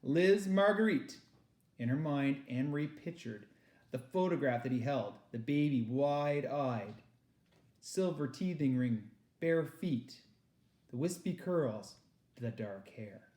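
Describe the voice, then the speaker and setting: A man reading a children's novel aloud with expressive narration, recorded in an echoey room. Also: mid-range voice, takes it slowly. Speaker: read-aloud reader.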